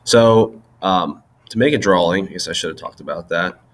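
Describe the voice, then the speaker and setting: Low-voiced young man, stuffy nose. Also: normal speed, a little hiss or hum. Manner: loose and chatty, many ums and likes. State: stuffy-nosed from allergies. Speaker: tutorial presenter. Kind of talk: casual screen-recorded software tutorial.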